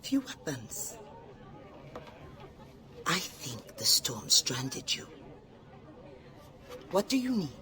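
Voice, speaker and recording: A sly secret broker. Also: female voice, deep voice, sing-song, pushed and bright, a faint crowd, exaggerated voice. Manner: teasing, slow and measured, with a French flavor. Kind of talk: video game cutscene acting. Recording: game cutscene with music behind.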